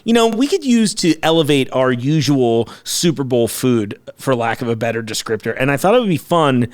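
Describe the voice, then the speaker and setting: A man's voice: medium pitch, sing-song, normal speed. A man hosting a food podcast in casual, upbeat chat, laughing often. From United States. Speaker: podcast host.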